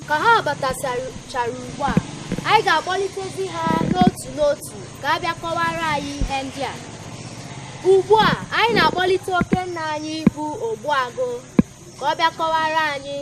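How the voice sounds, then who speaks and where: A woman's voice: extremely high pitch, a little fast. A woman with a southeastern Nigerian accent, presenting a folk programme and introducing its speakers. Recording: very noisy, clicking background.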